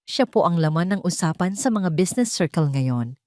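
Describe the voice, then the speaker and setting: A woman's voice: on the low side, expressive, brisk, darkish tone, dry recording, studio quality, natural voice. Woman playing a nervous, deferential female assistant. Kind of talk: audio drama storytelling.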